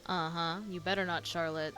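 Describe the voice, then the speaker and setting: A woman's voice: on the low side, flat delivery, normal speed, bright, forceful tone. Casual woman streamer over game music. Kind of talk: casual playthrough reaction.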